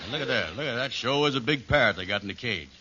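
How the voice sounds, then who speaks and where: A man playing an easygoing, slow-witted pal in a 1940s radio sitcom, talking in a folksy comic dialect. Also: medium pitch, expressive, lightly acted. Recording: dull, hissy old radio recording.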